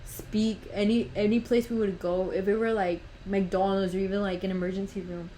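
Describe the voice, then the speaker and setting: A nineteen-year-old woman who grew up speaking Spanish talks casually on a podcast, drifting from topic to topic and leaning on "like" and "literally." Her voice sits at a medium pitch. Faint noise runs under the recording. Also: level tone, moderate pace, dark tone, poor audio. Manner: rambling, fillers of "like" and "literally". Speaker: podcast host.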